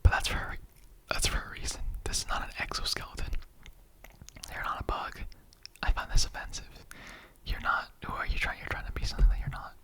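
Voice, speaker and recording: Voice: brisk, pushed and bright. A performer in a whispered ASMR roleplay, voicing a casual, mildly offended skeleton with a rambling, slangy, conversational way of talking.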